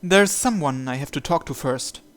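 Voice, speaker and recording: Guy reading visual novel narration out loud, smooth clean voice, kinda high for a man, deliberate. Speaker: video game player reading the script aloud.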